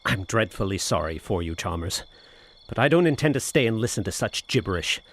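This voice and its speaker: An actor playing a sensible, skeptical gentleman in a horror audio drama, speaking with formal, measured, literate diction. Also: fairly low pitch, animated. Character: skeptical, proper gentleman friend and narrator, sensible.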